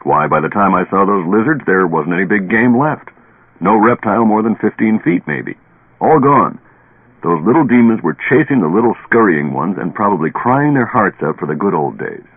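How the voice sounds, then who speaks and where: An actor playing a stubborn, haunted, tipsy professor who lectures deliberately and dramatically in a radio play. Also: male voice, fairly low pitch, animated, husky, phone-quality sound. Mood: insistent. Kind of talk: old radio drama acting. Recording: band-limited old radio recording, echoey.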